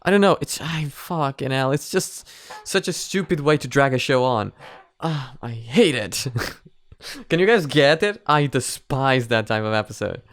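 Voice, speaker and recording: A man's voice: medium pitch, animated, a little slow. A man giving casual, sweary, exclamatory reaction commentary. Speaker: reaction video host.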